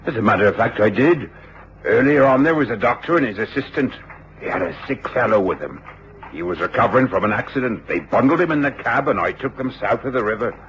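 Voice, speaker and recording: An actor playing an obliging, deferential working-class London cabby in a period radio drama. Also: male voice, mid-range voice, expressive, a little fast, a bit bright, natural voice. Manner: deferential, chatty, plain-spoken. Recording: dull, noisy radio drama recording.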